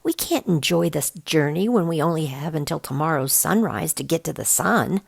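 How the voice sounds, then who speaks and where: A skeptical young heroine from a children's storybook, voiced by a woman reader. She sounds impatient and drily sardonic, with a creaky edge to the voice. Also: low-pitched, expressive, moderate pace, dry recording, clean studio sound, heavily acted. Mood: exasperated.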